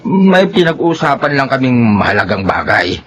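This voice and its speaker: A man's voice: mid-range voice, very animated, normal speed, a bit bright. Earnest young man, radio drama. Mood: guarded. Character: earnest, determined young working man, polite but secretive. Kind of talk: Filipino radio drama acting.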